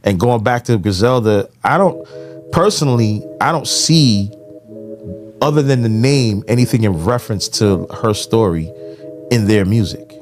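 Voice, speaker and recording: A man's voice: lowish voice, expressive, unhurried, a little mellow, soft background music. A middle-aged man co-hosting a podcast, speaking in a laid-back, opinionated way with lots of fillers. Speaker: podcast co-host. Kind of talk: casual podcast chat.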